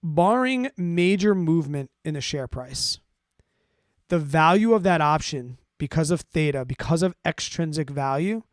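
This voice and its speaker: A man with a slightly high voice hosts a finance livestream in a very dry, close recording. He explains in a casual, conversational way and keeps checking that listeners follow along. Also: animated, a little slow, no room sound. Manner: conversational, repeats check-ins like "right?" and "okay?". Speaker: host of a finance livestream answering listener questions. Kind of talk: casual explanatory talk to a live audience.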